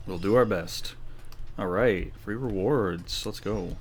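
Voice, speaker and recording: Male gaming streamer: lowish pitch, easygoing and jokey playthrough chatter, slight noise behind. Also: takes it slowly. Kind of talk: casual playthrough commentary.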